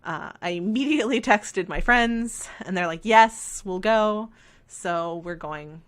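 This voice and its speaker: A woman's voice: mid-range voice, unhurried. A woman with a scratchy voice, chatting casually while playing a life sim game and rambling in a self-deprecating way. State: scratchy voice from talking. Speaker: let's play host. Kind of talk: casual chat over gameplay.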